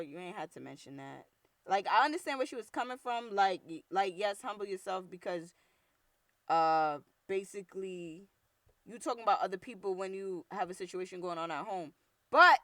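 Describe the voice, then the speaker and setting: Young New York woman host, deep and rough, slangy and blunt; punchy delivery, dry recording. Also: a bit bright, dry recording. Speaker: podcast host. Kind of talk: casual solo commentary.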